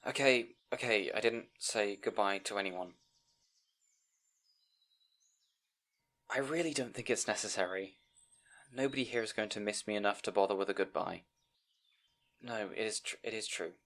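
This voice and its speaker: A man's voice: on the low side, a little fast, fairly bright tone. A man playing a timid, bookish young scribe in a fantasy audio roleplay, hesitant and apologetic, rambling and stammering. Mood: defensive, downcast. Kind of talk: audio roleplay acting addressed to the listener.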